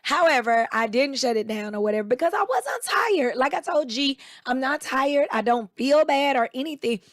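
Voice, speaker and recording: A woman with a raspy, slightly hoarse voice, chatting casually as co-host and acting out the people in her stories. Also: sits high, moderate pace, dry recording, studio quality. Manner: animated storyteller, quotes people in raised voices. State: recovering voice, a bit hoarse. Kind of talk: casual chat.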